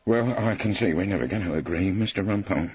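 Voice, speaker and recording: A man voicing a gloomy, grudging grey-haired police inspector who talks in a slow, stolid grumble. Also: sits low, a little mellow, lo-fi sound, a little hiss or hum, voice pushed up, close to an everyday voice. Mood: bitter.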